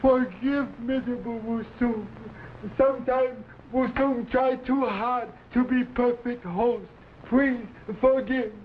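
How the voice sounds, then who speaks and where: A man's voice: very high-pitched, steady tone. A man playing an obsequious, scheming Chinese innkeeper in stilted pidgin English, in the style of an old adventure serial. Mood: fawning. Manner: fawning, slow, stilted broken English. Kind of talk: 1950s TV adventure serial acting. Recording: tinny, noisy old broadcast recording.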